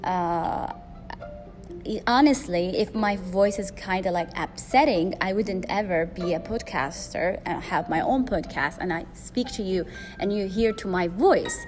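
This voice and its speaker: Loud-voiced woman podcaster over music. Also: on the low side, measured pace. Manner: rambling, frequent fillers and restarts. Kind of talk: solo podcast monologue. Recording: music playing behind.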